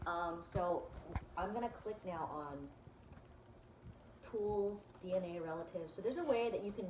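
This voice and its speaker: A woman's voice: fairly low pitch, unhurried, echoey room, background noise. A woman vlogger chatting casually as she clicks through her results, with plenty of ums and restarts. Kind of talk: casual chat, walking through results on screen.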